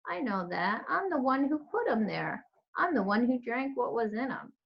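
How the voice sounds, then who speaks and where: A woman voicing a kindly, regretful older woman in a storybook, folksy and gently admonishing. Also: fairly high pitch, very animated, moderate pace, gravelly, dull audio, pitched above the performer's own voice. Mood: candid, gentle.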